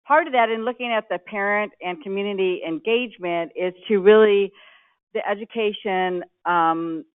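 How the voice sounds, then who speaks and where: A man reporting his table's ideas to a conference room, pausing often with ums. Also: sits high, smooth. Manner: halting, with frequent ums. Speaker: table spokesperson at a workshop. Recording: tinny phone-like sound.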